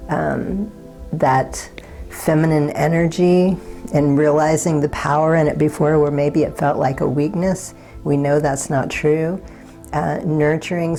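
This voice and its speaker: A woman with a low, warm, slightly raspy voice gives a tarot reading. She talks in a soft, reassuring way, pausing now and then to find the right word. Faint music plays underneath. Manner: soft, unhurried and gently reassuring, pausing to search for words. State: slightly raspy from not talking all day. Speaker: tarot card reader. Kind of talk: pick-a-card tarot reading.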